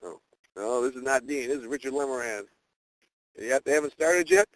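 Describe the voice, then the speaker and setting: A man's voice: medium pitch, brisk, dry recording. A man calling in on a phone line, chatting casually in an informal, questioning way. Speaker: caller waiting to listen in. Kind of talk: casual chat. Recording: conference phone call.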